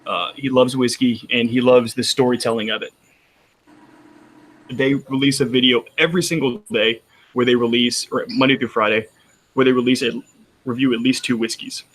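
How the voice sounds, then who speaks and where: A man's voice: mid-range voice, steady tone, normal speed, a bit bright, poor audio. A man with a Texan accent chatting casually on a roundtable, rambling with frequent restarts. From Texas. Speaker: roundtable panelist. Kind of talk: casual chat.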